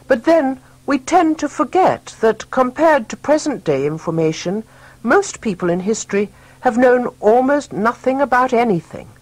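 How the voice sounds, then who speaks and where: A woman narrating a scripted story in a measured, dryly ironic manner. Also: on the high side, sing-song, a little mellow, no echo.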